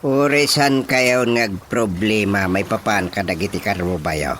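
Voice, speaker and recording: A man's voice: lowish voice, a little slow, a bit bright. A man acting a boastful, comically confident village character in an Ilocano radio drama. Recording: radio drama with faint background noise.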